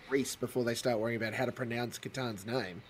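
A man hosting a casual interview, joking with quick banter. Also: mid-range voice, fairly even intonation, a little hiss or hum. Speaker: host.

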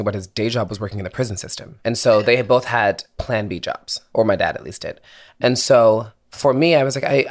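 A young man from Philadelphia, a singer-songwriter, talking candidly and casually as an interview guest, laughing easily and swearing now and then. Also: medium pitch.

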